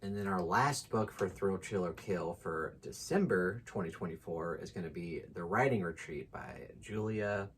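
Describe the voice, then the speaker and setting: A man's voice: low-pitched, normal speed, few pauses, a little hiss or hum. A man co-hosting a book channel in casual, unscripted chat, easygoing and joking with frequent asides.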